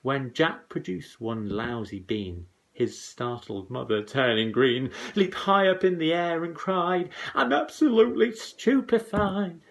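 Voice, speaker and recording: A man reading comic verse aloud to children as a dramatic, theatrical storyteller. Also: medium pitch. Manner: dramatic, expressive, deliberate rhymed delivery.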